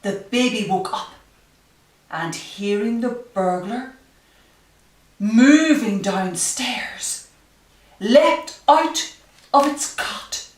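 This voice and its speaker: In an echoey room, a little away from the microphone, a woman reads a children's story aloud. She takes her time and leans dramatically on key phrases, with pitch that swings widely. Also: animated, extremely slow, long pauses. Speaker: storyteller reading a picture book to children. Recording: roomy echo, distant microphone.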